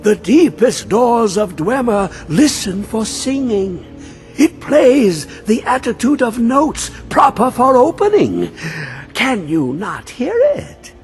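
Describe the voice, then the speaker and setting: Give me a high, slow, cryptic old madman, riddling in a video game. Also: very animated, heavily acted. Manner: riddling, sing-song, abrupt swings high and low. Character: mad old male scholar, cryptic and obsessed. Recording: game audio with faint music.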